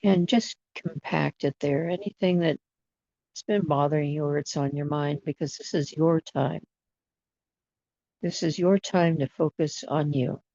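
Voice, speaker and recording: A person leading a guided imagery meditation in a soothing manner, with long pauses between short phrases. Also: mid-range voice, steady tone, darkish tone, dry recording. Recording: dull, dry lo-fi recording.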